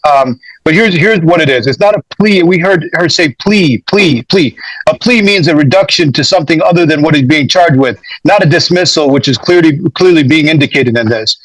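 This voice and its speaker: A man's voice: fairly high pitch, fairly bright tone, dry recording, poor audio. A man speaking as a defense attorney, making an emphatic, indignant argument in court.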